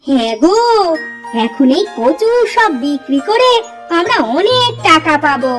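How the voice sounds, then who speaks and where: A woman voicing a sharp-tongued young village wife who scolds and mocks her husband. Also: very high voice, big pitch swings, a little slow, exaggerated voice. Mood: surprised, softened. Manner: scolding, sarcastic. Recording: cartoon music under the voice.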